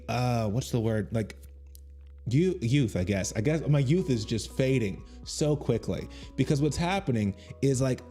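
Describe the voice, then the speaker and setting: A man's voice: medium pitch, warm tone. A man in his thirties, a comedian chatting casually with viewers on a livestream, rambling and self-deprecating as he reacts to chat. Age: 30s. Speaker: comedian streaming on Twitch. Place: small room at home. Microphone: studio mic on boom arm.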